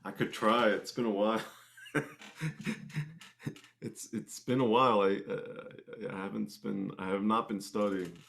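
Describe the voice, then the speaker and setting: A man's voice: fairly low pitch, fairly even intonation, measured pace, vocal fry, pauses often, a bit bright. A man talking casually as an interview guest, restarting and repeating phrases as he explains things. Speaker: guest, singer-songwriter and musician.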